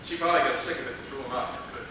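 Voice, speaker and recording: A man's voice: medium pitch, very fast, natural voice. A man acting on stage as a bitter, resentful young son of a painter, accusing in manner, recorded from far off in a reverberant, noisy hall. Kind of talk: amateur stage play acting.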